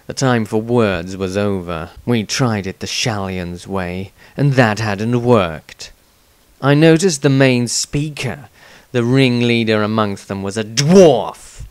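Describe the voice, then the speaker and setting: A man voicing a cold, misanthropic fighter with a deliberate, contemptuous manner in a fantasy diary reading. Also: lowish voice, dry recording. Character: cold, misanthropic male fighter, menacing and scornful.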